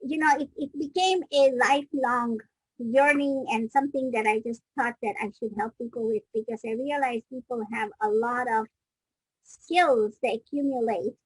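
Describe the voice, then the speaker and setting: Middle-aged woman from Kenya, interview guest, husky, fairly high voice, reflective storytelling, dry close sound. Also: normal speed, no echo, rough recording. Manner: reflective, restarts sentences mid-thought. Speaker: guest, business coach.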